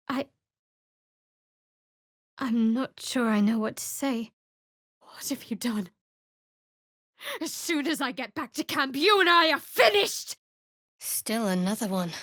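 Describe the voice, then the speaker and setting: A woman playing a guarded, sardonic young cleric of a dark goddess in a video game, with a dry, wry delivery. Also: on the high side, dry recording. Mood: hesitant, tender, then fierce, then dry, curious. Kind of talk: dramatic video game voice acting. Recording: very dry close studio recording.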